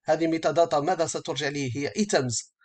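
A man giving a step-by-step software tutorial as an instructor, speaking haltingly and often rephrasing as he explains. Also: on the high side.

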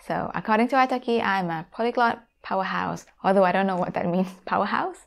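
A woman's voice: medium pitch, a little fast, creaky. A woman in her thirties from Thailand, speaking English with a Thai accent, talking casually and directly to viewers from a room at home on a lapel mic. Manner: friendly, direct address to viewers. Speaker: YouTuber and language teacher. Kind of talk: casual talk to camera. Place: home room with guitars on the wall.

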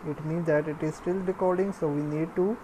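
A woman giving a step-by-step programming tutorial, dictating in a halting, piecemeal way as she works. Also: low voice, fairly even intonation, background noise. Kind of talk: step-by-step screencast tutorial, spoken while typing.

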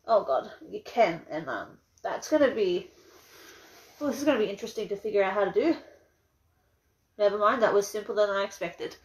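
A woman's voice: mid-range voice, animated, brisk, pauses often, poor audio. Giggly young Australian woman. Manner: chatty, giggly, rambling with frequent laughs. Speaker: vlogger. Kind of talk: casual live-stream unboxing. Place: small cluttered bedroom, indoors. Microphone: phone camera propped on a mug.

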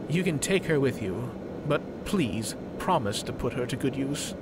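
A man playing a gentle, remorseful weapons scientist in a video game, hesitant and pleading in manner. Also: medium pitch, animated, measured pace, close to an everyday voice. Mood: pleading, sad. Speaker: game character. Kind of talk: video game dialogue acting. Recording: loud mechanical background hum and noise.

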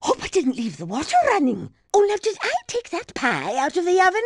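A scatterbrained old grandmother behind the wheel of a game race car, fussing in prim, old-fashioned exclamations. Her high voice leaps wildly up and down from line to line. The sound is close and dry. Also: huge pitch swings, a little fast, no echo, full character voice. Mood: dithering. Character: dotty chatty grandmother driving in a race. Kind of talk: video game voice lines.

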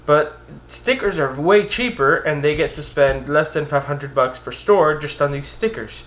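A young man talking to camera in a casual vlog, earnest and animated, often rising in pitch as he makes his points. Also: a little slow. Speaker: vlogger on blindness. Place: indoors, a green-screen backdrop of store photos.

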